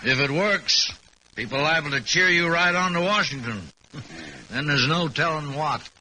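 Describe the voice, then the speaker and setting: An actor playing a sly, deferential American sheriff in an old radio drama, folksy and ingratiating. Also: male voice, on the high side, normal speed, pushed and bright. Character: deferential, sly small-town American sheriff, eager to please. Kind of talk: 1950s radio drama acting. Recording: faintly noisy vintage radio recording.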